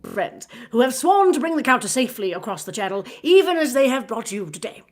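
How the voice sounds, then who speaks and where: A woman's voice: on the high side, very animated, brisk, voice pushed up. A woman voicing a jovial young lord in a storybook, hearty, gallant and formally courteous. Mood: courteous, reassuring. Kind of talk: dramatized storybook reading.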